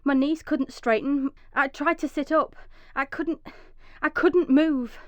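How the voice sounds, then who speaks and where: A woman's voice: high voice, fairly even intonation, slow pace, no echo, muffled recording. A woman playing an anxious, loving young wife and mother in a radio drama. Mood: frightened, tense narration. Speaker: actress and narrator in a radio drama. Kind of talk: radio drama acting, dramatic first-person narration.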